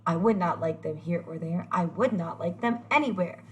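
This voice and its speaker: A woman voicing a fussy, stubborn grouch in an animated, emphatic children's read-aloud. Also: on the low side, normal speed, lo-fi sound, pitched below the performer's own voice, natural voice. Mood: grumpy. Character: stubborn, fussy, exasperated grouch refusing food.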